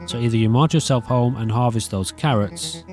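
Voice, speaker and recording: A man's voice: mid-range voice, normal speed, a little mellow, faint music behind, close to an everyday voice. A man voicing a bossy, short-tempered farm girl in a story game. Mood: threatening, annoyed.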